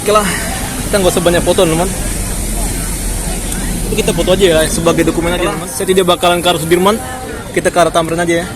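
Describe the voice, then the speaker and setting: A man's voice: high voice, level tone, fast talker, long pauses. A man from Jakarta with a Batak accent, chatting casually to his viewers while walking through a city street. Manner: chatty, keeps addressing viewers as friends. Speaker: vlogger. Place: busy city street outdoors. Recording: street crowd and music behind. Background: crowd and music.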